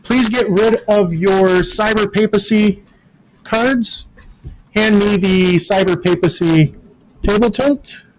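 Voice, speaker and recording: A man's voice: sits high, slow pace, rough recording. A man acting as game master at a tabletop game, explaining the rules patiently and methodically.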